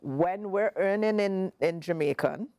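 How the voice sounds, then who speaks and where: A woman in her forties with a Caribbean accent, speaking as a guest CEO in a casual interview, measured and emphatic, repeating points for stress. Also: mid-range voice, dark tone, dry recording, clean studio sound. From Grenada.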